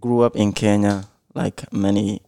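Man in his twenties from Somalia, low mellow voice with some fry; hesitant, slow guest. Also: level tone, no room sound. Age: 20s. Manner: hesitant, short answers with many uhs. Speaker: podcast guest. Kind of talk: casual interview answers.

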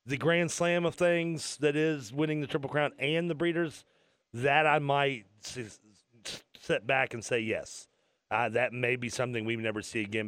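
A man co-hosts a radio sports talk show, rambling and joking as he trips over his words. His voice is mid-pitched, and the studio sound is close and dry. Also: a bit bright, no room sound. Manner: rambling, joking, stumbling restarts.

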